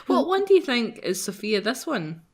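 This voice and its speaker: A woman's voice: fairly high pitch, big pitch swings, normal speed. A woman chatting casually as a let's play host, bantering over a game.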